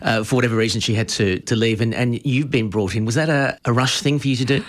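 Fast-talking male radio host. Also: medium pitch. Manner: chatty, eager questioning. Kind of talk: radio interview chat.

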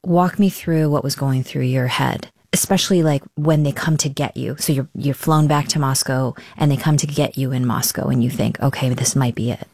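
A woman conducting a broadcast interview, asking measured, probing questions. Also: rumbling low, creaky, darkish tone, no room sound, clean studio sound.

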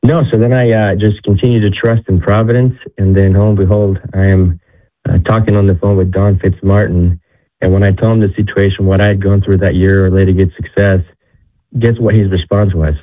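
Deep-voiced young guy from ecuador telling a story, lots of restarts, tinny phone-like sound. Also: steady tone, brisk. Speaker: guest. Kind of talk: casual interview talk.

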